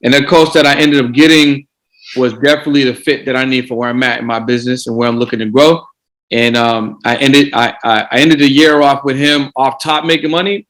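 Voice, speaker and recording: A man's voice: mid-range voice, a bit bright, poor audio. Man coaching, repeats himself. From Boston. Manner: repeats words while gathering thoughts. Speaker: guest coach. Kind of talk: casual podcast interview.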